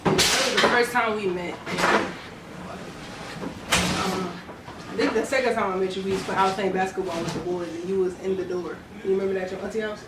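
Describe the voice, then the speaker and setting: A woman's voice: medium pitch, steady tone, normal speed. A young American woman telling stories in a casual, conversational way. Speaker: guest storyteller. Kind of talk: casual storytelling chat. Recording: roomy, noisy, distant mic.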